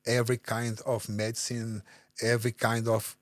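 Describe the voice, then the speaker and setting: A Brazilian man, a native Portuguese speaker, answers interview questions in halting English. He restarts often and searches for his words, in a mid-pitched voice with a dry, close sound. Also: monotone, no echo. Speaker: guest.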